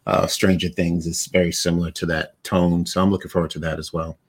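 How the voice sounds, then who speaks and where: A man with a very low, deep voice hosts a casual podcast. He talks in a rambling, hesitant way and leans on fillers while he works his thoughts out. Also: flat delivery, a little fast, husky. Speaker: podcast host.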